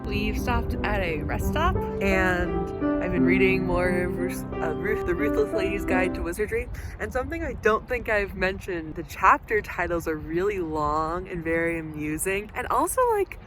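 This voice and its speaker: A woman's voice: fairly low pitch, moderate pace, hardly any pauses, over loud music. A woman chatting casually and enthusiastically in a bookish, rambling reading vlog. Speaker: book vlogger and author. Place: on a road trip, in car and hotel rooms.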